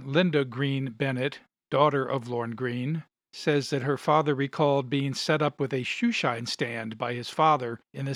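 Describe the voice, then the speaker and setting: A man hosting a show, giving scripted narration and commentary on an old radio drama in a measured, explanatory way. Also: mid-range voice, a bit bright, no room sound, clean studio sound. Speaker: podcast host.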